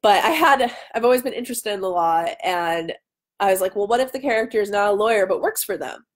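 A woman's voice: mid-range voice, fast talker. A woman in her thirties chatting casually as she hosts a live question session, wandering off on tangents, recorded at home on a webcam. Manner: chatty, self-interrupting tangents. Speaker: author hosting a live chat. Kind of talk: casual chat, answering viewer questions. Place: home dining room. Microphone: webcam at arm's length.

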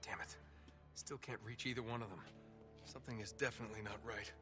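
A man's voice: fairly low pitch, a little fast, music in the background, close to an everyday voice. An actor playing a determined, wry American treasure hunter in his thirties in a video game, speaking casually but urgently. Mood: tense, low. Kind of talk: video game cutscene acting.

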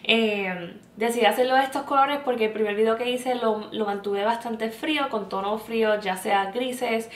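A woman's voice: fairly high pitch, moderate pace, hardly any pauses, roomy sound. A young woman from Puerto Rico with a Puerto Rican accent, chatting through a makeup tutorial and slipping English words into her speech. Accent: Puerto Rican Spanish. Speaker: makeup vlogger. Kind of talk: makeup tutorial, talking while applying. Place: small indoor room with plain backdrop.

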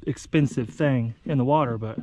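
A young American man chatting casually to viewers in a folksy, excitable way while out on the water. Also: medium pitch, normal speed. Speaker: vlog host. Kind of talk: casual outdoor vlog chat. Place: outdoors on a kayak under a bridge. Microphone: action camera. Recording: muffled action camera audio with outdoor noise.

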